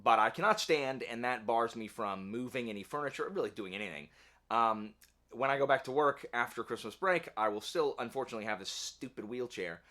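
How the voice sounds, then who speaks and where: A man's voice: medium pitch, lively intonation, a little fast, pushed and bright. A man chatting casually to camera as a channel host, rambling along in a wry, self-deprecating way. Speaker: YouTube book channel host. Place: indoor home office.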